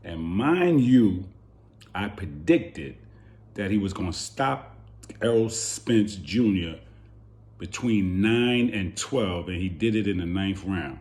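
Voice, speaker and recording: Hoarse man ranting about boxing. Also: low voice, expressive, slow pace, a little mellow. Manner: heated, repeating rhetorical questions. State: hoarse from yelling. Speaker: boxing channel host. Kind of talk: animated boxing commentary rant.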